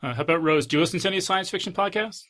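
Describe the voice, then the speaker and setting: A man with a bright, forward voice, pitched a little high, hosts a conversational interview. He puts questions to his guests informally. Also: rapid-fire. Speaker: podcast host interviewing guests.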